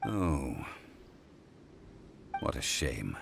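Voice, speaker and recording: An actor playing a calm, learned gentleman scholar in a video game, speaking formally and precisely with unhurried poise. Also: a bass voice, creaky, background noise, heavily acted. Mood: measured, matter-of-fact. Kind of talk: video game cutscene voice acting. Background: background music.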